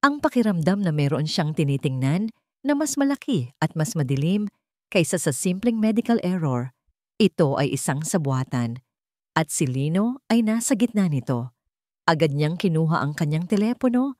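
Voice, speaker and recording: A woman with a Filipino accent reads a scripted dramatic story in a measured, deliberate way. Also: deep voice, very animated, darkish tone, no room sound, studio quality. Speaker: narrator.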